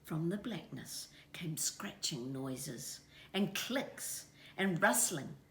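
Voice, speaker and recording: A woman with a New Zealand accent reading a children's story expressively, dramatic and hushed in places. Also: low voice, lively intonation, slow, creaky, a little hiss or hum. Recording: echoey room.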